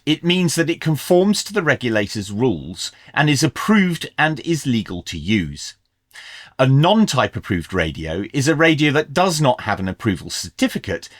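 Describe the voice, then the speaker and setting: A man reads a scripted review as a presenter, with a mid-range voice that rises and falls in a lively way. His delivery is measured and clearly explained. The sound is close and dry. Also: medium pitch, no room sound. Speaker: presenter of a radio review video channel.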